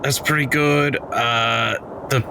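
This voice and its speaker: A man's voice: medium pitch, steady tone, takes it slowly, creaky, noisy background. A man playing a tabletop game, moving between earnest talk and jokes. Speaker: player. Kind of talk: tabletop roleplay, in and out of character. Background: loud music.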